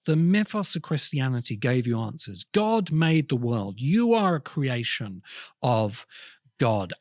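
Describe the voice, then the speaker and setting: A man delivers an explanatory lecture at an unhurried pace. His pitch moves widely as he stresses short phrases and leaves pauses between them. The sound is dry, with almost no room in it. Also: no room sound.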